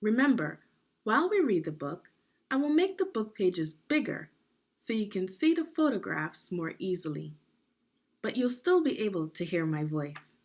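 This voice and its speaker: A woman reading aloud as a teacher, with deliberate, careful phrasing and guiding questions for young children in an instructional read-along. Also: medium pitch, sing-song, a little mellow.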